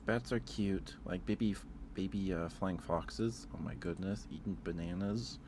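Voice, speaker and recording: A man's voice: sits low, moderate pace, noisy background. A man chatting casually on an ASMR livestream, rambling hesitantly and repeating his phrases, close to the microphone.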